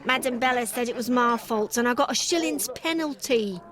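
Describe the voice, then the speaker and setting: A woman's voice: fairly high pitch, moderate pace, a crowd in the background, natural voice. An actress playing a chatty, grumbling young working-class Victorian servant girl in a video game, gossipy and aggrieved.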